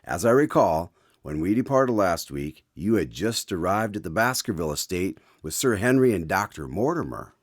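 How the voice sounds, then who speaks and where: A man's voice: deep voice, big pitch swings, normal speed, few pauses, no room sound, clean studio sound. A man playing an eager, inquisitive host in a radio drama, speaking formally as announcer and listener. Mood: curious. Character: eager male host visiting a doctor to hear his stories.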